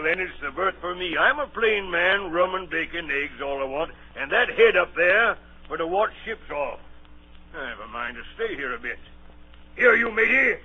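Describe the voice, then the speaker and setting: Gruff old sea captain, old radio. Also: male voice, high-pitched. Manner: barking orders, salty nautical talk. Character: gruff, suspicious, domineering old seaman captain. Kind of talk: 1930s radio drama acting. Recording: muffled, noisy vintage radio recording.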